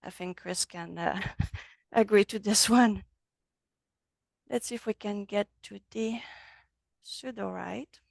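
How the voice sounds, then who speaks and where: A woman with a French accent, a native French speaker, teaching a relaxed online software class over a video call. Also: medium pitch, slow pace, creaky, pauses often, dry recording. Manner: friendly, loose, switches between English and French. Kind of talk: casual online class walkthrough.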